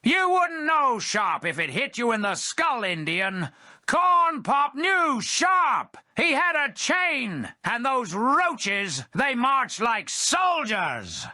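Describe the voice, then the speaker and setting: Man playing a high-pitched cranky old skeleton bartender. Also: big pitch swings, slow pace, bright, forceful tone, no echo, clean studio sound, exaggerated voice. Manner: rambling, folksy old-timer reminiscing.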